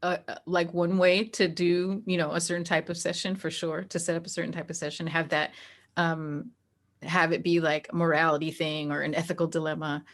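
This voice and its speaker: A woman with a fairly low voice talks casually as the guest in an interview. She works through her points out loud, often acting out example thoughts as she goes. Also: little pitch movement, brisk. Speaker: interview guest, tabletop game master. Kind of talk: casual, conversational interview talk.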